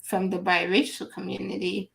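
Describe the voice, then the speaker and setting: A woman hosting a live online interview, speaking in an unhurried, halting way as she reads viewer comments aloud. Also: medium pitch, level tone, gravelly, fairly bright tone, rough recording. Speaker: livestream host.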